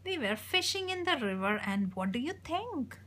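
A woman reading a children's story aloud expressively, in a rhythmic sing-song with drawn-out phrases. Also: high voice, very animated, fairly bright tone. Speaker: storybook reader.

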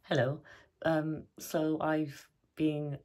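A woman's voice: low voice, flat delivery, very slow, darkish tone. A young woman vlogger who is losing her voice, chatting casually and laughing between phrases. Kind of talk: casual aside to camera.

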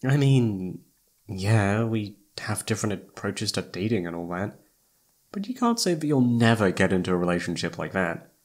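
Man acting as young male best friend, secretly smitten, informal, hesitant, emotive, very lively intonation, roleplay audio. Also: lowish voice, moderate pace. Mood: frank, mildly defensive. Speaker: voice actor. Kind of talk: roleplay audio drama, one side of a casual conversation.

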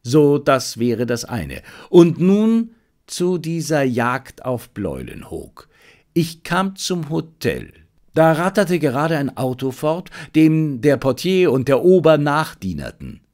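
Male narrator as gentleman amateur detective, confident, commanding, reflective, storybook crime tale, expressive pitch. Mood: brisk reporting. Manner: commanding, self-assured, reflective.

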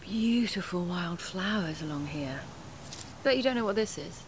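An actress with a British accent playing a sharp-tongued, capable undercover policewoman in a radio drama, brisk and self-assured. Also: lowish voice, lively intonation, a little hiss or hum. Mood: teasing. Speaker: actor.

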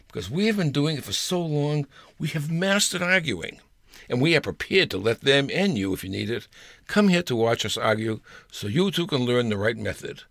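Older man reading his story, gravelly voice. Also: medium pitch, fairly bright tone, dry recording, clean studio sound. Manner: wry storyteller with playful voices. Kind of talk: humorous personal essay reading.

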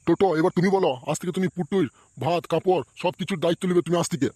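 A man's voice: sits high, steady tone, racing, warm tone, dry recording. A man voicing a bossy, blustering village man in a comedy cartoon, with crude speech. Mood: officious. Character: bossy, foul-mouthed village man at a wedding. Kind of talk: amateur comedy cartoon voice acting.

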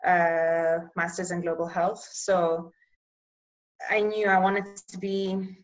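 A young woman from Eswatini whose first language is Bulgarian, working in public health, answering interview questions over a video call in a measured way, often pausing to find the right words. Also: lowish voice, level tone, muffled recording.

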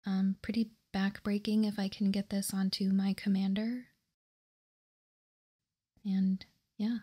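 A woman whispering softly and calmly in an ASMR style, explaining and reading out text as a host, close to the microphone. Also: medium pitch, breathy edge. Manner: soft, unhurried, calm explaining. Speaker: host of card-game ASMR channel.

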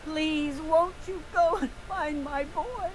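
A woman's voice: high voice, takes it slowly, a little hiss or hum. A woman playing a frantic, overprotective frontier mother in a video game, folksy and melodramatic. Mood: anxious. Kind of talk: video game character dialogue.

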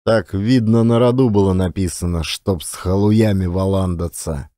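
A man narrating classic literary fiction as an expressive audiobook storyteller. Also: low-pitched, moderate pace, no echo, clean studio sound.

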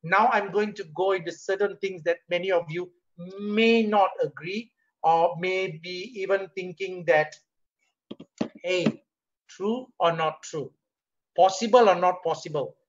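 A middle-aged man with a Singaporean English accent, a company CEO giving a motivational leadership talk over a video call, asking rhetorical questions and getting animated as he makes his points. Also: high-pitched, a little slow, a little hiss or hum. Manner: conversational, rhetorical questions, rises animatedly when making a point. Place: home or office room, webcam. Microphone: webcam or laptop mic on a video call. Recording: muffled video call audio.

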